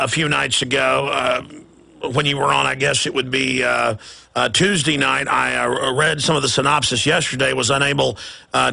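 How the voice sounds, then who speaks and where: Gravelly, hurried talk radio host. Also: male voice, mid-range voice, bright, forceful tone, no echo. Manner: hurried, pushes callers along.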